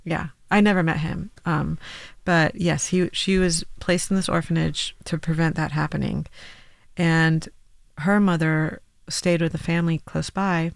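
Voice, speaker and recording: A woman in her thirties speaks as a guest in an interview, thoughtful and searching for words, with a touch of gravel in her voice. Her studio mic sounds close and dry. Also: low voice, steady tone, a little slow, no echo. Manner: thoughtful, laughs often, restarts while thinking. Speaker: musician guest. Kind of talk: interview conversation.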